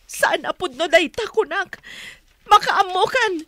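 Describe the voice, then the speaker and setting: An actress playing a long-suffering, pleading wife and older sister in a radio drama. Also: female voice, very high voice, expressive, measured pace, a bit bright, dry recording, heavily acted. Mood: frightened, pleading.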